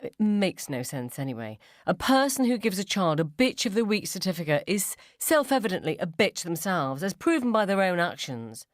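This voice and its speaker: A woman's voice: lowish voice, big pitch swings, normal speed, hardly any pauses, no room sound, clean studio sound, voice pushed up. A woman voicing a domineering, self-righteous school mum in a story, emphatic and judgemental. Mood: indignant. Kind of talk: short story character dialogue.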